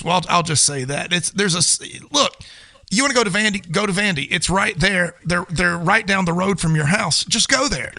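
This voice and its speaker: A man's voice: high voice, gravelly, sharp tone, dry recording. An American man co-hosting a sports talk show, giving casual, opinionated commentary in an emphatic way.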